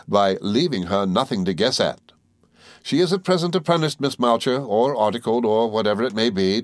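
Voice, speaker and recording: A man reading a story aloud, voicing a confident young gentleman who speaks slowly and teasingly. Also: on the low side, dry recording, clean studio sound, close to an everyday voice. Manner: smooth, teasing, deliberate.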